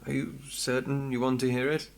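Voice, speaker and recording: An actor playing a composed, wry investigator with a measured, polite manner in a fully voiced video game. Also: male voice, medium pitch, little pitch movement, creaky, lightly acted. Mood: wry, reflective. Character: composed male investigator, former street thief, wry and courteous. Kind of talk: scripted video game voice acting.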